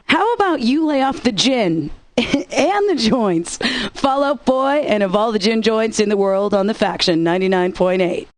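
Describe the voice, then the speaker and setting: A woman's voice: fairly high pitch, animated, normal speed. A woman radio DJ with sarcastic, wisecracking scripted patter, recorded close on a studio mic.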